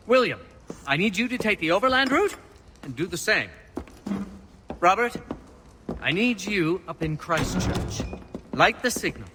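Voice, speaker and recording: An excitable game patriot of colonial times. His voice is high and animated, formal yet urgent, in a reverberant space with noise behind it. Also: exaggerated voice. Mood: commanding. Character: fervent, excitable colonial patriot rallying allies. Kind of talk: video game character acting.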